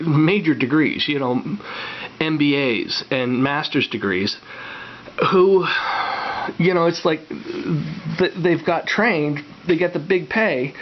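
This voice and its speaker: A man hosting a game coding channel, stuffed up from a cold, talking in a meandering casual way with many fillers and restarts. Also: on the high side. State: congested from a cold. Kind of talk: casual rambling vlog talk. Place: home room, on camera. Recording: slightly dull recording with faint hiss.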